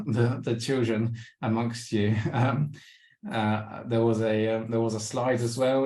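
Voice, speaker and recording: Hesitant low man with flat delivery. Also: echoey room. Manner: hesitant, many ums and restarts. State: losing his voice, clearing throat. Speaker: webinar presenter. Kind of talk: slideshow travel talk. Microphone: video call.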